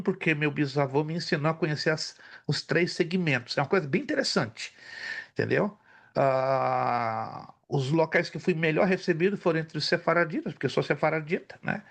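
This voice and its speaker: Animated man telling stories in class. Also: fairly high pitch. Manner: storytelling, animated, lists facts. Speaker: theology teacher. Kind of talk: casual class conversation.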